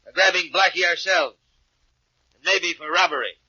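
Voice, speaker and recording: An actor playing an ambitious, brusque police inspector with a commanding, clipped manner in 1940s radio drama. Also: male voice, high voice, normal speed, sharp tone, a little hiss or hum. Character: brusque, ambitious police inspector eager to catch his man. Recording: dull, lo-fi old radio recording.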